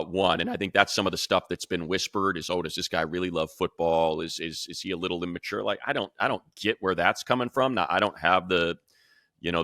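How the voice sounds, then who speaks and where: A man with a very low but bright, forceful voice talks sports analysis as a show host. He sounds emphatic and conversational, working through his reasoning aloud. The sound is close and dry. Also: no echo.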